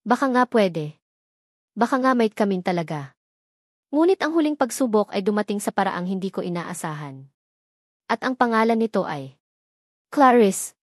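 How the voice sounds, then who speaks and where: A woman's voice: medium pitch, expressive, moderate pace, pauses often, dry recording, studio quality. A woman with a Filipino accent reading a scripted first-person romance story as a storyteller.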